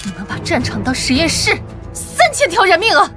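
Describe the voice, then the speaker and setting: High-voiced, righteous young heroine. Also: female voice, wildly swooping pitch, brisk, heavily acted. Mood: outraged. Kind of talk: animated web drama dubbing. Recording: loud background music.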